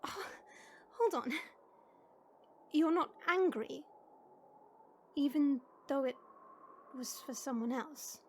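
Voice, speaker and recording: Woman voicing a very high-pitched, melodramatic young dragon princess. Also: slow, pauses often, a little hiss or hum. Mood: incredulous. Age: young adult. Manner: exasperated, sarcastic, melodramatic. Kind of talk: audio roleplay drama.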